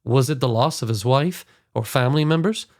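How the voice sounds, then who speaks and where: A man, slightly hoarse, hosting a podcast and reading a scripted introduction with earnest enthusiasm. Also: mid-range voice, brisk. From Scotland. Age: 40s. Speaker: podcast host.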